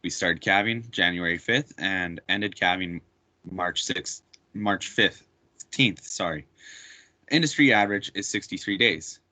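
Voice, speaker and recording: A man's voice: sits low, unhurried, bright, forceful tone, no echo. A young man, a student presenter, reporting figures in a matter-of-fact way and correcting himself. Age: young adult. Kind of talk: prepared class presentation.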